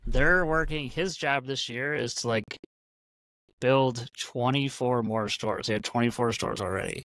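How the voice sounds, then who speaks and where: A man's voice: mid-range voice, measured pace, a bit bright, no echo. A man from Michigan hosting a podcast, eager and enthusiastic, often jumping in mid-conversation. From Michigan, USA. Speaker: podcast host. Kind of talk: casual chat.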